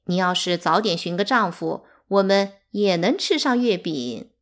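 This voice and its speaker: A woman's voice: mid-range voice, wildly swooping pitch, a little slow, a bit bright, full character voice. A woman voicing a domineering, spiteful rich wife in a storybook, imperious and insinuating beneath a syrupy sweetness. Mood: falsely soothing.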